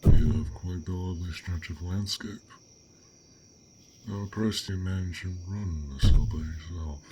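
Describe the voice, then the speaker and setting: A man playing a gentle, curious dragon in a roleplay audio drama, with formal, old-fashioned, pensive speech. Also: deep voice, noisy background. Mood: impressed.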